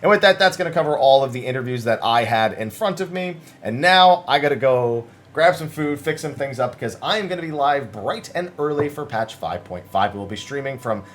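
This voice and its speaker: A man's voice: medium pitch, lively intonation, fairly quick, hardly any pauses. A man hosting gaming news commentary, talking casually and emphatically as he sums up interviews in an informal, conversational way.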